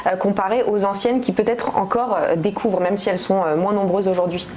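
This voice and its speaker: A woman hosting a business TV interview, asking clear, structured questions. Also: mid-range voice, steady tone, fairly quick, a little mellow, a little hiss or hum. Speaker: interviewer, TV host.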